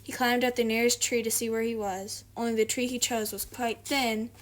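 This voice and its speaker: A woman with a fairly high voice reads aloud for children. She hosts and narrates in a storybook style. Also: flat delivery, normal speed. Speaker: storybook reader, video host.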